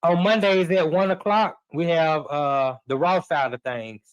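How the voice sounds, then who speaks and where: A middle-aged man talks sports in a loose, encouraging way, full of restarts and "you know." His voice sits a touch high for a man. The sound is dry and close, with no room around it. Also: unhurried, clear, resonant tone, no room sound, highs cut off. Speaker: podcast guest and host. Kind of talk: casual sports talk. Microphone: remote live stream.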